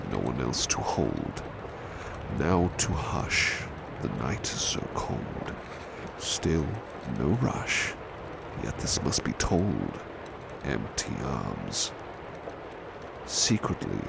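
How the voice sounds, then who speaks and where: A man playing a formal, competitive butler who softly reads poetry in an ASMR bedtime roleplay. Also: extremely low pitch, vocal fry, heavy background noise, full character voice. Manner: formal, slightly prickly, dramatic verse delivery. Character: male butler servant, formal, competitive, touchy. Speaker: servant reading poetry at bedtime. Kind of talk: soft-spoken ASMR roleplay, poetry reading.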